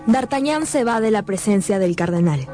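A woman's voice: mid-range voice, lively intonation, normal speed, music in the background. A woman narrator delivering scripted storytelling narration for a radio drama.

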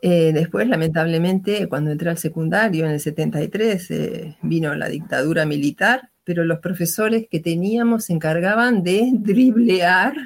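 A woman's voice: fairly low pitch, moderate pace, hardly any pauses. A woman in her sixties with a Uruguayan Spanish accent, a retired teacher telling her life story in a hesitant, reflective way full of fillers and restarts.

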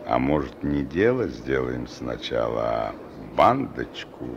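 Menacing gang boss, slow drawl, gravelly, sly criminal slang, old radio drama, dull hissy recording. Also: male voice, rumbling low, big pitch swings, heavily acted. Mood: sly menace. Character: menacing, sly escaped killer and gang leader. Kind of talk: Soviet-era radio drama acting.

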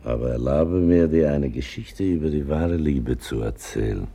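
An actor playing a wry, patient older man in a radio drama, speaking gently and deliberately in a gravelly, husky voice. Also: rumbling low, exaggerated voice. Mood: wry tenderness. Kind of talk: theatrical radio drama acting.

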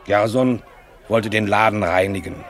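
An actor playing a cynical, worldly cleric in a radio drama, with a sardonic way of talking. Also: male voice, lowish voice, unhurried, fairly bright tone, some chatter behind, a little hiss or hum. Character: cynical, worldly male cleric, slyly amused. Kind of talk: classic German radio drama dialogue.

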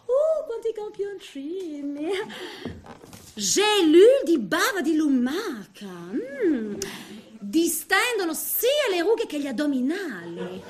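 A woman's voice: extremely high pitch, sing-song, slow pace, darkish tone, full character voice. A woman playing a vain, snobbish, flirtatious businesswoman in a comic stage monologue, theatrical and gushing, dropping in French exclamations. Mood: giddy, gushing. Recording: roomy, echoing sound.

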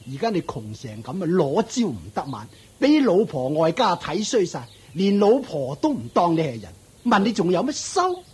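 A man's voice: high-pitched, wildly swooping pitch, a little mellow, husky, full character voice. A man with a Hong Kong Cantonese accent playing a scornful, nagging uncle who runs a shop, mocking and belittling in a radio drama. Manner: mocking, belittling, drawn-out taunts.

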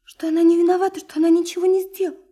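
An actress in a Russian radio play, voicing a fragile, heartbroken young girl, tender and impulsive, with breathy, tremulous, urgent delivery. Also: very high voice, level tone, very fast, dark tone. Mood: tearful, wounded.

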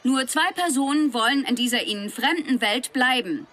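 An actress in a radio drama playing a brisk live news reporter with a formal, measured announcing manner. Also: female voice, high voice, lively intonation, fairly bright tone. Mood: solemn, brisk.

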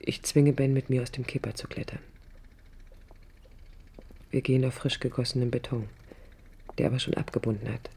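Hushed, wistful young woman in love. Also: a bass voice, fairly even intonation, moderate pace, creaky, pauses often, breathy edge, heavily acted. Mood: tender recollection. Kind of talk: 1980s radio play, intimate first-person narration. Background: music.

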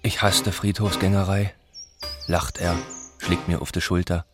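A brooding villager tells of home in a literary radio play. The voice sits low and moves in measured, poetic fragments, with music clearly behind it. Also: male voice, flat delivery. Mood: brooding, wry. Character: brooding village man narrating his rural home.

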